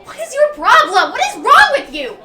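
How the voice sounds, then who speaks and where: A woman's voice: extremely high pitch, huge pitch swings, normal speed, pushed and bright, exaggerated voice. A woman acting a frantic teenage girl at a party in an amateur audio drama, repeating her words, recorded in a roomy space at a distance. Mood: panicked, scolding. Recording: roomy, distant mic, crowd murmur.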